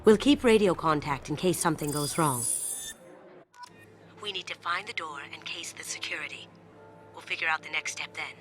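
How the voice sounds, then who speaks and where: A sly woman thief from a video game, voiced by an actress, speaking in a low, self-assured voice. She is breezy and quietly wry, with soundtrack music clearly behind her. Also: moderate pace, long pauses, natural voice. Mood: confident, businesslike. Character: sly, confident master thief woman, playful and cool. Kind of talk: video game character acting.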